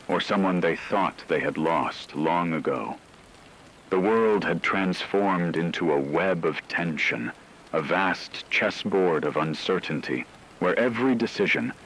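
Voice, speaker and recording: A man reading dramatic scripted fiction narration in a solemn, measured way. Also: low-pitched, a bit bright, a little hiss or hum, rough recording.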